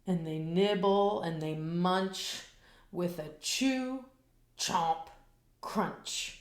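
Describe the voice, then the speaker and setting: Slow, expressive woman reading a storybook. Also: fairly low pitch, echoey room. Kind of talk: children's picture-book read-aloud.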